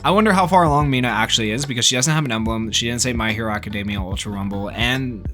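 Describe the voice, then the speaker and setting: A man's voice: medium pitch, fast. A man giving casual gaming news commentary as a YouTuber, talking in an informal, speculative, rambling way. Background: background music.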